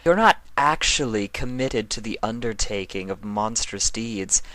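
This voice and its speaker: A man voicing a stern, haughty female dark alicorn villain in a storybook reading, with a dry, sardonic manner. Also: on the low side, animated, a little slow, no echo, pitched above the performer's own voice. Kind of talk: fan fiction character reading.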